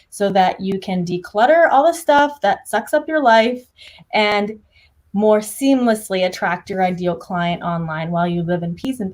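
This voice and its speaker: A young woman hosting a livestream interview, chatty and energetic, talking over a video call. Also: mid-range voice. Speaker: host.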